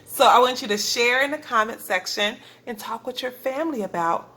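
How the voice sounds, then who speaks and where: A woman preschool teacher reading a storybook aloud to young children, expressive and deliberate. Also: a little high, husky. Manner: expressive, deliberate, asks young listeners questions.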